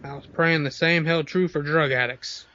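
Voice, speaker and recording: A man's voice: a little high, steady tone, moderate pace, sharp tone, lo-fi sound. An amateur actor playing a drugged, foul-mouthed rich man, panicky and frantic, in an audio drama. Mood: wry, resentful.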